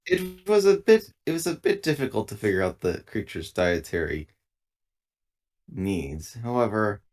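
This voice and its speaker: A man with a very low voice speaks in character during a tabletop game. His wording is formal and deliberate, delivered as measured roleplay. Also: sing-song, a little mellow.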